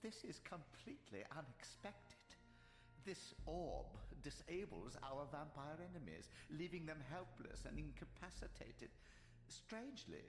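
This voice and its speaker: A sly, creaky old sorcerer, theatrical swoops. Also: male voice, on the high side. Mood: ingratiating. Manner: grandiose, unctuous, deliberate, ornate phrasing. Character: sly, aged, silver-tongued sorcerer guarding time. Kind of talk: theatrical video game voice acting. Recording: echoey hall reverb, music behind.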